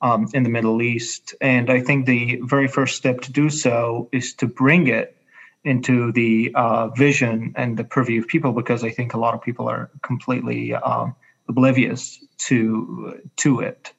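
A man from Egypt with an Egyptian Arabic accent, an educator speaking as an interview guest in a halting way, searching for words. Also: mid-range voice, little pitch movement, gravelly, breathy edge. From Cairo, Egypt. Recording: dull, muffled lo-fi audio.

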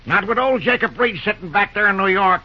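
An actor playing a wary backwoods railroad hand with a husky voice and rustic dialect in an old-time radio drama. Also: male voice, high-pitched, lively intonation, brisk, highs cut off. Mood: worried, cautioning.

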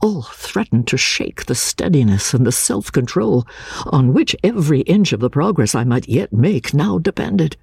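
A man narrating classic literature dramatically, in a theatrical and measured manner. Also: fairly high pitch, sing-song, creaky, darkish tone, breathy edge, no room sound, clean studio sound. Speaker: audiobook narrator.

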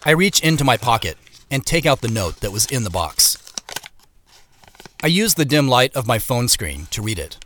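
An actor playing the uneasy first-person narrator of a mystery audio drama, telling it in measured, suspenseful phrases. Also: male voice, medium pitch, sing-song, a bit bright.

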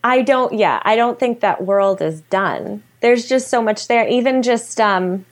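A woman's voice: fairly high pitch, a little slow. A woman chatting casually as a guest storyteller, informal and a little self-deprecating in the way she talks. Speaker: guest, storyteller and fiction podcaster. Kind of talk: casual chat.

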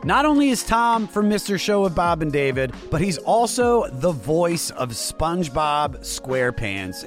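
A man hosting a music podcast in an excitable, casual, slangy way with lively banter. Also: medium pitch. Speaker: podcast host.

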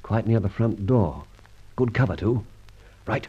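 An actor playing a suave, unflappable British gentleman adventurer in an old radio serial, well-spoken, wordy and ironically polite. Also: fairly low pitch, expressive, unhurried, slightly rough, lo-fi sound, full character voice. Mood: wary, brisk.

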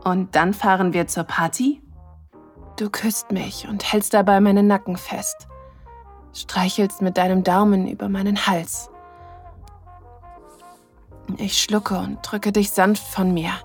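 Audio drama lead, woman: mid-pitched, tender and sensual, eager lover telling the story intimately, light music underneath. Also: little pitch movement, slow, pauses often. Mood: aroused, eager. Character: affectionate, eager woman in love with her partner. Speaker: narrator and lead character. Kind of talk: intimate second-person audio drama narration.

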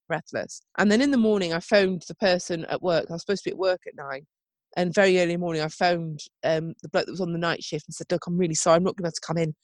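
A woman's voice: on the low side, dry recording. A woman with a wheezy, chesty voice and a cough, chatting on a podcast. She tells her story casually and chattily, with a dry, close sound. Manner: chatty storytelling with frequent "oh my God" and laughs. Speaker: podcast co-host. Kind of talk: casual chat.

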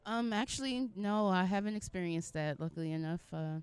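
A woman's voice: on the low side, fairly quick, a little mellow, clear, resonant tone, no room sound. An American woman from Los Angeles answering interview questions as a musician in a concise, easygoing way. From Los Angeles, United States. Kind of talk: casual interview chat.

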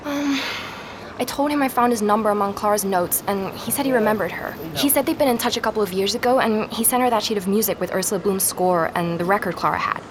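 An actress playing an earnest, rattled young woman investigator in a naturalistic audio drama, speaking haltingly as she records her search. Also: medium pitch, natural voice. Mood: troubled, explaining. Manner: hesitant, halting, with repeated words. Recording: street and vehicle noise behind.

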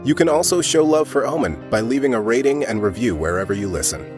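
A man's voice: mid-range voice, expressive, fast. A man announcer reading scripted credits at the end of an audio drama podcast. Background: music.

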